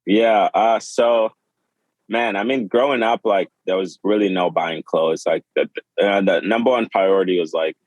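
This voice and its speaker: A man in his twenties, born in Sudan and raised in Kenya, speaking as a podcast guest in a halting, casual way with many fillers and restarts. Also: sits low, a little mellow, dry recording. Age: 20s. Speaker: podcast guest, clothing designer. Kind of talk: casual interview.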